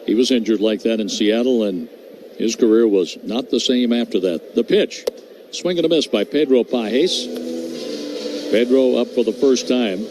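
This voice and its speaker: A man calling a baseball game on radio, crisply reeling off stats and calling each pitch. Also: normal speed, background noise. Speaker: play-by-play announcer.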